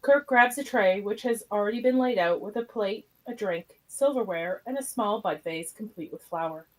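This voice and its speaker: A woman's voice: medium pitch, normal speed, rough recording. A woman reading stage directions as narrator in a plain, matter-of-fact way. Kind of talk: scripted narration of a live script read.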